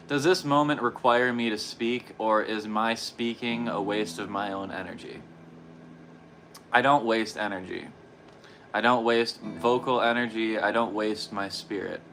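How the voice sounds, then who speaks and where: A hoarse, worn-out man with a lowish voice talks in a soft, halting way, circling back over his own phrases. There is a clear hiss of noise around his words on this home stream. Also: low-quality recording. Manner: soft-spoken, halting, with long pauses and repetitions. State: hoarse, tired voice. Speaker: guitarist livestreaming practice. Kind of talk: slow reflective personal talk.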